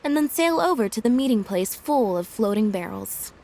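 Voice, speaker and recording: A woman playing a calm, deductive young detective in a video game, speaking in measured, articulate and deliberate exposition. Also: a little high. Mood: coolly accusing. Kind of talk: scripted video game dialogue. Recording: music behind the voice.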